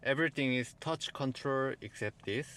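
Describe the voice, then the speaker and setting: A man with a Korean accent, whose first language is Korean, gives a car review walkthrough in plain, halting phrases. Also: mid-range voice, pushed and bright, a little hiss or hum. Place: in and around a car.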